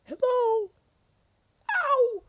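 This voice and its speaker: Squeaky, very high tiny mouse. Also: male voice, expressive, extremely slow, lo-fi sound, exaggerated voice. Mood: hurt, startled. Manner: timid, polite, eager. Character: tiny, meek but plucky little male mouse.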